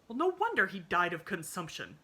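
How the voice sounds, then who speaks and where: Storybook voice: a woman reading a cold, strict spinster aunt who barks short icy orders, a bright edge, a touch louder than the narration. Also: lowish voice, animated, measured pace, breathy edge, exaggerated voice. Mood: stern. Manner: icy, clipped commands.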